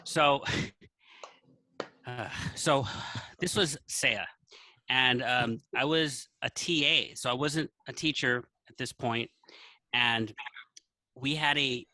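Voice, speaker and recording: Bright-voiced man telling a story. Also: mid-range voice, slow pace, pauses often, no echo, low-quality recording. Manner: acts out dialogue in anecdotes. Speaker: podcast guest, teacher. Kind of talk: casual storytelling.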